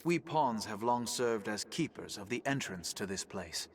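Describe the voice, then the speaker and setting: An actor playing a solemn keeper of an ancient gateway in a fantasy game, speaking in formal, archaic, grave phrases. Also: male voice, on the low side, animated, moderate pace, slightly rough. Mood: grave, pleading. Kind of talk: scripted fantasy game dialogue.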